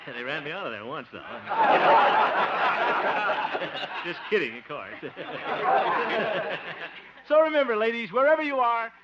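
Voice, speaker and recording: A man's voice: high voice, wildly swooping pitch, exaggerated voice. A man playing a bumbling, good-hearted fellow in a 1950 radio sitcom, sentimental and prone to chuckling. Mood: jovial. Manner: sentimental, chuckling, drawn-out delivery. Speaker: lead comic actor and show host. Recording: muffled noisy 1950 radio broadcast.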